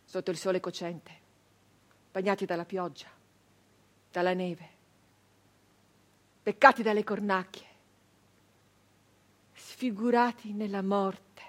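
An actress playing an anguished, defiant 19th-century Italian peasant brigand woman in a theatrical monologue, with emphatic repetitions and dramatic pauses. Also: mid-range voice. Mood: bitter, sombre. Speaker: stage actress performing a monologue.

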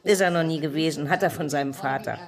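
A woman's voice: low voice, fast talker, a crowd in the background. An actress playing a gossipy small-town woman, chatty and matter-of-fact, in radio drama style.

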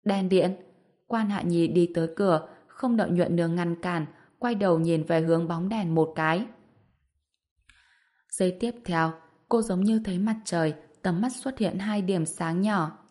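A woman's voice: lowish voice, normal speed, darkish tone. A woman narrating an audiobook story from a script, voicing the dialogue as she goes.

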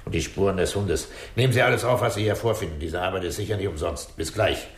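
An actor playing a gruff, commanding, unruffled police commissioner with a curt, dry delivery in a 1960s German radio crime drama. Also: male voice, low-pitched, quick pace, close to an everyday voice. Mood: dry, brisk. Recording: roomy, echoing vintage radio play.

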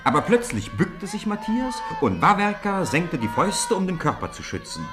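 A man narrating a 1950s radio play, telling a snowball fight with rising excitement. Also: high-pitched, normal speed, over music. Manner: lively storytelling, rising with the fight. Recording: old radio play with crowd noise behind.

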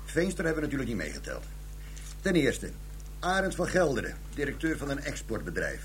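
An actor playing a chatty, jokey police detective in a Dutch radio drama. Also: male voice, medium pitch, fairly quick, slight background noise. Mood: informative. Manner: animated, jokey.

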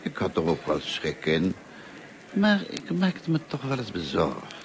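An actor in a Dutch radio play as a kindly, talkative, lonely middle-aged teacher, courteous and musing in manner. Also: male voice, low-pitched, wildly swooping pitch, measured pace, full character voice. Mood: earnest, musing. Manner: courteous, musing, hesitant and self-effacing. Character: kindly, talkative, lonely grey-haired male French teacher. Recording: noisy old radio play recording.

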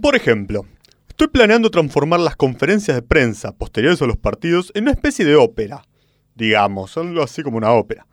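A man's voice: fairly high pitch, very animated, dry recording, clean studio sound. A man with an Argentine accent playing a pompous, eccentric football club president in a radio comedy sketch. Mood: grandiose, musing. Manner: pompous, halting then grandiloquent.

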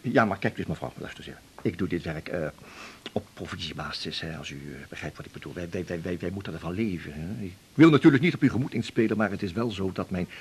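A man's voice: low voice, fast, husky, exaggerated voice. An actor playing a pushy, flustered, oily door-to-door salesman in a radio drama, stammering through ingratiating sales patter. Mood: wheedling. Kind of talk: 1980s Dutch radio drama acting.

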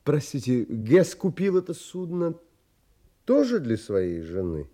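An actor playing an earnest, reflective gentleman traveler, narrating an audio drama in literary, polite phrasing. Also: mid-range voice, wildly swooping pitch, heavily acted. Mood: polite, curious. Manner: literary, measured, polite but firm.

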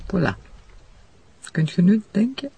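An actor with a Flemish accent playing a sceptical, colloquial police detective sidekick in a radio drama. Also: male voice, high voice, big pitch swings, measured pace, gravelly, warm tone, a little hiss or hum, heavily acted, poor audio. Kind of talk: naturalistic radio drama dialogue.

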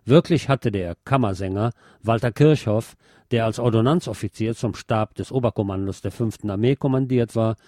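Mellow-voiced man presenting a film review. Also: fairly low pitch, moderate pace, no echo, studio quality. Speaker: radio show host. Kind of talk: film review, semi-scripted narration.

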